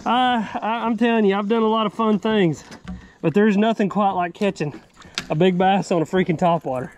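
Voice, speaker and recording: A young man vlogging from his kayak on a creek, excitable and quick to exclaim. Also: high voice, slight background noise. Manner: excitable, exclaims and laughs often. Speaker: fishing vlogger. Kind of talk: excited outdoor chat.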